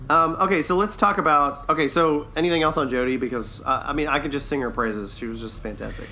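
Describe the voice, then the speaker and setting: Bright-voiced enthusiastic man, some background noise. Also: on the high side, fast talker. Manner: informal, enthusiastic, laughs often. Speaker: podcast co-host reviewing a TV series. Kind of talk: casual chat, review discussion.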